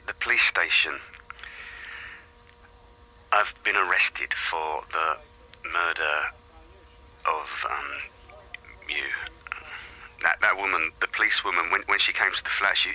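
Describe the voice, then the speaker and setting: Anxious butcher, low voice, sharp tinny phone-machine sound, stammering and hesitant, radio play. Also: male voice, exaggerated voice. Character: anxious, halting male butcher on an answering machine. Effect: telephone answering machine. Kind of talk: radio drama acting. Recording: thin phone message sound.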